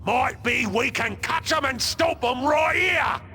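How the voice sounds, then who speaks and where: A man playing a brutish, boisterous ork in a video game, with a gravelly Cockney voice and crude, ungrammatical, bellowing speech. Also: extremely high pitch, slow, a little hiss or hum, exaggerated voice. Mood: gleeful, belligerent. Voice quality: gravelly, shouty. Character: brutish, boisterous male ork warboss and his gang. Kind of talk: video game cutscene acting.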